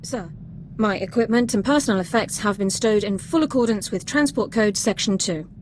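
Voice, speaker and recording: A woman's voice: mid-range voice, normal speed, darkish tone, a little hiss or hum, lightly acted. A woman playing an eager, rule-bound young female sergeant who speaks formally and precisely in a video game. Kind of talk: video game cutscene acting.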